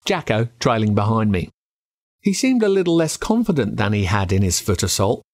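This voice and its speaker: An Australian man narrating a first-person spooky story with dramatic, expressive delivery. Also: medium pitch. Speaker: audiobook narrator.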